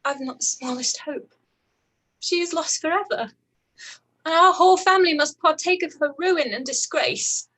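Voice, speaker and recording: Actress doing a really high voiced young period lady, upset and proud, blames herself, halting radio play delivery. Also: a bit bright, rough recording. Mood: anguished, despairing. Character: distressed young gentlewoman, proud, self-reproaching. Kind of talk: live-read radio play acting of a period drama.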